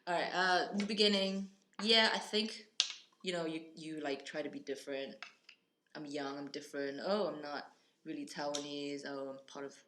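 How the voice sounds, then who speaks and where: A woman from South Africa talking casually as a podcast guest, quick and chatty, acting out what other people said. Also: deep voice, steady tone, roomy sound, noisy background. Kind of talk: casual chat.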